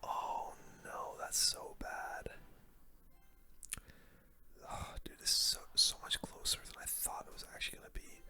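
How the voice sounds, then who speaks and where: A video game streamer giving casual ASMR gameplay commentary in a soft, breathy whisper, with relaxed gamer slang. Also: unhurried, pauses often. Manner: casual gamer slang, murmured reactions to play.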